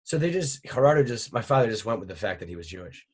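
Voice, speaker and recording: Young guy, kinda low raspy voice, wry jokey storyteller. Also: very animated, fast talker. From Richmond, Virginia, USA. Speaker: comedian guest. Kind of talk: casual podcast chat.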